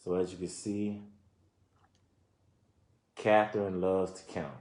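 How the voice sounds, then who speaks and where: Male storyteller, lower register, reads a picture book with informal asides; roomy, echoing sound. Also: flat delivery, darkish tone. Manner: pauses to explain and comment to listeners. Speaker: reader presenting a children's book. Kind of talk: read-aloud of a children's book with informal commentary.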